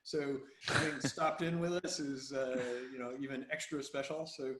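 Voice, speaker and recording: A man hosting an online call, making informal introductions in halting, hesitant phrases. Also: medium pitch, fairly even intonation, noisy background. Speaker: session host. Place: roomy space, video call. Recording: echoey room on video call.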